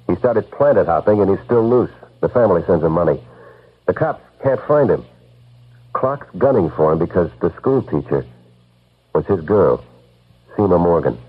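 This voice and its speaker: An actor playing a tough, loyal investigator in an old-time radio drama, blunt and slangy. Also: male voice, sits low, sing-song, moderate pace, pauses often, breathy edge, full character voice. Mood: grim, matter-of-fact. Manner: blunt, slangy, world-weary. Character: tough, loyal male hard-boiled investigator. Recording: muffled old radio recording, faint music.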